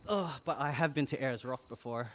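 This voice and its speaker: Casual male streamer, Australian accent, mid-range voice, chatty and slangy, talking to chat over clear background noise. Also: medium pitch, a little fast. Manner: chatty, slangy, reading chat aloud, thanking viewers. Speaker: live streamer.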